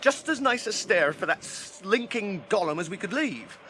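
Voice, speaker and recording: An actor playing a loyal, grumbling hobbit servant in a radio drama, blunt and homely. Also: male voice, high-pitched, sing-song, normal speed, pushed and bright, a little hiss or hum, full character voice. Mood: dismayed. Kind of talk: 1980s British radio drama acting.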